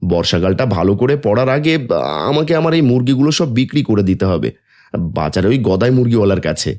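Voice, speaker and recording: A man plays a practical village farmer in a cartoon, talking fast with a lively, up-and-down voice. He is persuasive and sure of himself. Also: medium pitch, close to an everyday voice.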